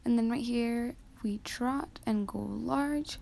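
A teenage girl with a slightly high voice and plenty of gravelly vocal fry talks quietly over her own riding footage. She explains each move in a soft, hesitant way, often pausing on um. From Canada. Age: teens. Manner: soft, hesitant with frequent ums. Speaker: vlogger.

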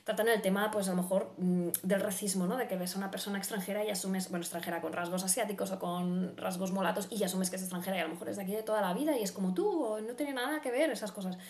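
A woman's voice: medium pitch, steady tone, quick pace, hardly any pauses, darkish tone. A woman in her thirties with a Spain Spanish accent, giving a casual, effusive book review and repeating words for emphasis. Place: small room at home, indoors. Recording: roomy, echoing home recording.

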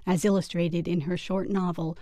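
A woman host reads a scripted introduction in an even, clear delivery. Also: on the low side, moderate pace, no room sound, clean studio sound. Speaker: program host. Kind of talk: scripted narration.

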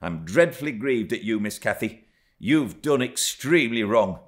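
A man's voice: medium pitch, lively intonation, moderate pace, voice pushed up, close to an everyday voice. A man voicing a stern but fond woman housekeeper, emphatic and reproachful. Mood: stern scolding.

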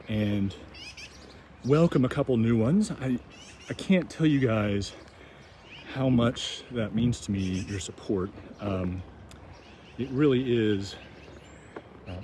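Rambling man narrating a street walk. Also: lowish voice. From United States. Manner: rambling, halting with many ums and restarts. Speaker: walking tour vlogger. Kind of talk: casual walking narration. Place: outdoors on small-town streets. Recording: outdoor street noise and wind. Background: street ambience, passing vehicles.

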